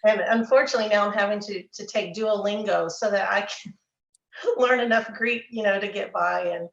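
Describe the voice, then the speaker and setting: A woman's voice: mid-range voice, normal speed, gravelly, lo-fi sound. Middle-aged woman from Texas in a roomy room. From Texas, USA. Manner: storytelling, repeats words, chuckles. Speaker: author guest. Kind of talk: casual interview chat.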